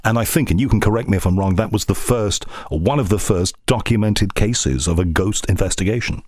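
A man from Liverpool with a Liverpool accent, hosting a conversational interview and chatting with quick, reminiscing asides. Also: on the low side, darkish tone, no room sound, studio quality. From England (Liverpool). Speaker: podcast host and broadcaster.

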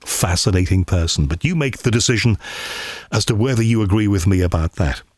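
A man hosting a podcast, chatty and enthusiastic, filling pauses with frequent uhs as he introduces his guest. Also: lowish voice, slightly rough, no echo, studio quality. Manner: chatty, enthusiastic, many ums. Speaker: podcast host. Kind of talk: scripted podcast introduction and interview. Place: cold room at home.

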